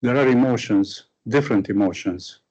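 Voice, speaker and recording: A man's voice: mid-range voice, normal speed, darkish tone, slightly rough, highs cut off. A man from Romania with a Romanian accent, answering a student's question after a lecture and searching for words in English, his second language. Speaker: visiting lecturer.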